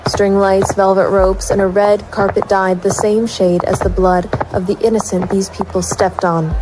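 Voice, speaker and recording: A woman's voice: medium pitch, noisy background, close to an everyday voice. An actress playing a weary, resolute female private investigator, narrating a noir audio drama in clipped, sardonic phrases. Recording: music bed behind the voice.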